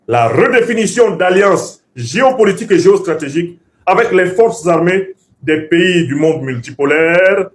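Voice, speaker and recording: A male political commentator, raised speaking Bassa. His voice sits a little high and swings widely in pitch. He lectures like an orator, emphatic and professorial, hammering points through repetition.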